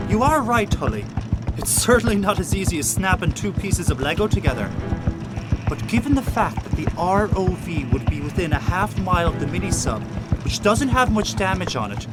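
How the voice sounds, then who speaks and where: Audio drama first mate: good-hearted techie, very high for a man, animated intonation, precise and eager. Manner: precise, technical, earnest.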